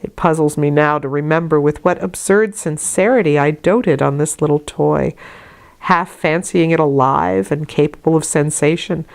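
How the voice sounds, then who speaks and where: A woman reading a classic novel aloud as an audiobook, in a measured, deliberate storytelling manner. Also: fairly low pitch, a little mellow. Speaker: audiobook reader. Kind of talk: scripted narration of a classic novel, read aloud.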